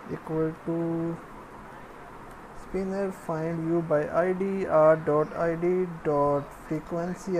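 A woman with a fairly low voice presents a programming screencast at a very slow pace. She speaks in short, halting pieces, walking through each step. Faint noise sits behind her. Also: a little mellow, rough recording. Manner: halting, piecemeal phrasing while typing. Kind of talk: step-by-step screencast narration of coding.

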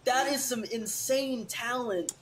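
A man's voice: very high-pitched, slow, over a noisy crowd. A man on a video call with a headset, talking in an amazed, enthusiastic, casual way. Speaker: video chat stranger.

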